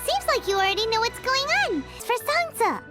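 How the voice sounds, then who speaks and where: A tiny fairy sidekick from a video game with a very high, squeaky, childlike voice. The delivery is earnest, easily scared and swoops about, with prominent music underneath. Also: female voice, very animated, a little fast. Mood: helpful, earnest. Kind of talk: video game character voice acting.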